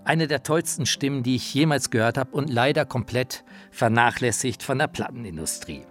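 A man's voice: medium pitch, normal speed, a bit bright, soft background music. A man narrating a radio feature in a colloquial, anecdotal storytelling manner.

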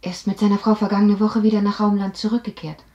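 Female colleague, acted, questioning and brisk, soft dark tone, echoey seventies radio drama. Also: medium pitch, level tone, highs cut off, close to an everyday voice. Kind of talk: 1970s German radio drama acting.

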